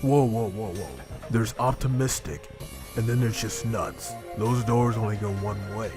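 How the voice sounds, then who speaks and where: A man's voice: fairly low pitch, measured pace, music in the background, lightly acted. A man playing a blunt, skeptical, down-to-earth guy in a game fan dub, with colloquial delivery. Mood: incredulous.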